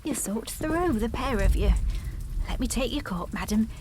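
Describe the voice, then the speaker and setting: Actress as motherly housekeeper, hushed and fussing, firm but fretful, period audio drama. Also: fairly high pitch, expressive, moderate pace, close to an everyday voice. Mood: fussing, concerned.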